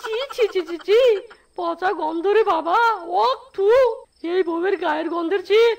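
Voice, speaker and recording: Very high, plaintive cartoon husband. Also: female voice, moderate pace, vocal fry. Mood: disgusted. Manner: dramatic, lamenting. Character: fed-up cartoon animal husband, plaintive, dramatic complainer. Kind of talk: cartoon story acting. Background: music.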